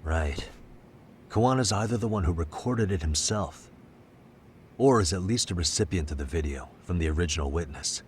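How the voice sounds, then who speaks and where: An actor playing a calm, sharp detective in an English game dub, measured and thoughtful as he reasons through a case. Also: deep voice, slight background noise, close to an everyday voice. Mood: pensive. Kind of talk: English video game dub cutscene acting.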